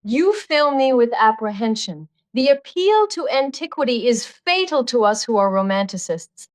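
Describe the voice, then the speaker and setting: An actress playing a poised, flirtatious, quick-witted duchess in audiobook dialogue, arch and playful. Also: female voice, a little high, big pitch swings, no room sound. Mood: playful, coy.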